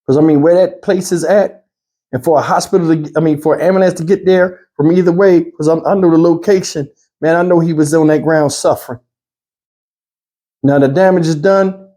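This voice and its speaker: Male online commentator, high but soft-edged voice, speaking off the cuff with pleading exasperation. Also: fairly even intonation, brisk. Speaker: YouTube news commentator. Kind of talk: off-the-cuff opinion commentary to viewers.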